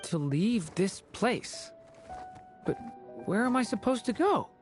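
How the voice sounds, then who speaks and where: A gentle young dragon boy from a game, mid-pitched and expressive. He speaks earnestly and sincerely with music clearly behind him. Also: slow pace. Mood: uncertain. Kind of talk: animated video game voice acting.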